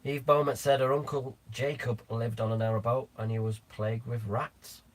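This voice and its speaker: A man's voice: medium pitch, flat delivery, normal speed. Dry-humored Lancashire man reading comments. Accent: West Lancashire. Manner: reads out viewer comments, dry banter, swears casually. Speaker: live stream co-host. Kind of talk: casual live stream chat.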